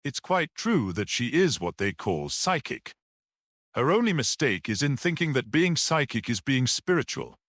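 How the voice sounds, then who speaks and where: A man reads as a little priest detective from a classic mystery audiobook. He is composed and philosophical, reasoning in measured phrases with a lively rise and fall, recorded close and dry. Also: mid-range voice, no room sound, pitched above the performer's own voice. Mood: composed, grave.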